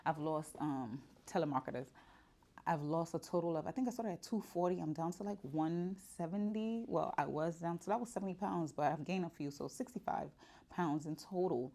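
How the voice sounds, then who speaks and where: A woman's voice: sits low, normal speed, dark tone. A woman, talking candidly and casually to the camera in her shop, with many fillers and restarts. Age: 40s. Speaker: vlogger. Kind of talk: casual personal vlog.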